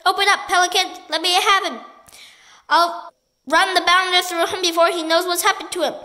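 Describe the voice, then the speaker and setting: Woman reading as a blustering old duke, bellowing, pompous, hot-tempered, high and bright. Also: fast, big-room sound.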